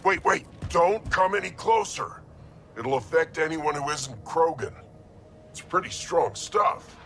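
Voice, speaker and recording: A man's voice: fairly high pitch, lively intonation, unhurried, bright, forceful tone. An actor playing an eager, dutiful young male recruit in a video game, speaking earnestly and deferentially. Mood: urgent. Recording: music behind the voice.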